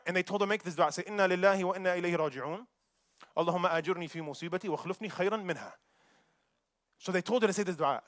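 A young man giving a Friday sermon in a mosque, preaching in an animated way and mixing recited verses with casual asides. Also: high-pitched, no room sound, studio quality. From United States.

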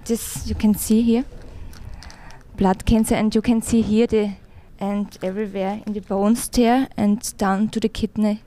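A woman's voice: mid-range voice, little pitch movement, mellow. Hesitant Austrian woman in her thirties. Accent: Austrian German. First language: German. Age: 30s. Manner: hesitant, many pauses and fillers. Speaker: church visitor giving testimony. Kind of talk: interview testimony.

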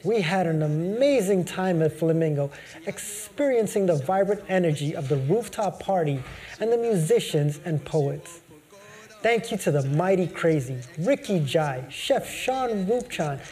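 A male host reads his show's sign-off in a polished, upbeat way. His voice is fairly high yet mellow and warm. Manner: upbeat, polished presenter delivery. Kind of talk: scripted show outro.

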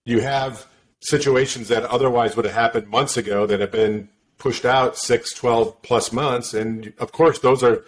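Male podcast host, casual and agreeable, mid pitch. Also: fairly even intonation, unhurried. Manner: casual, agreeable, short affirmations. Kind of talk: podcast interview.

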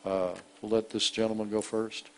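A pastor guiding a church service, encouraging people and calling out praise between prophetic words. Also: male voice, fairly low pitch, flat delivery, moderate pace. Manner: encouraging, calls out praise and amens.

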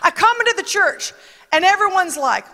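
A woman preaching with a very high, bright voice in a chatty, emphatic way, often quoting others as she goes. Her words ring out with a long reverb, as if from a large church hall. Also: lively intonation, normal speed. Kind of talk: conversational sermon.

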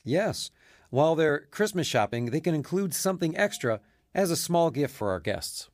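A man's voice: a little high, very animated, moderate pace, dry recording. A man speaking as a charity president in a scripted radio appeal. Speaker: charity mission president, guest.

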